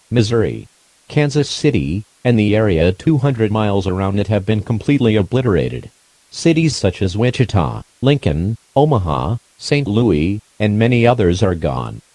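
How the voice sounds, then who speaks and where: A man reading a scripted emergency broadcast announcement in a formal, measured, impersonal way. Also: lowish voice, dark tone, no room sound.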